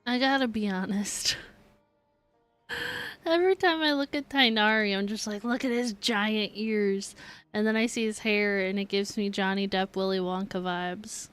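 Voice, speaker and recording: A woman's voice: medium pitch, unhurried, fairly bright tone, clear, resonant tone, soft background music. A woman streamer giving casual, chatty, joking commentary as she plays a game.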